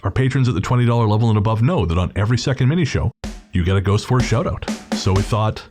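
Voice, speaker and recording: A man's voice: fairly low pitch, fast talker, over music. A man co-hosting a podcast in a chatty, informal, joking way, reading out notes and ad-libbing casually. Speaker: podcast co-host. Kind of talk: casual scripted podcast sign-off with chat.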